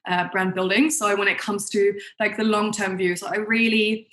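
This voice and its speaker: A woman's voice: mid-range voice, level tone, normal speed, fairly bright tone. A young adult woman who grew up in New Zealand and Germany, giving chatty, conversational interview answers peppered with "like" and "you know". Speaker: guest, marketing consultant.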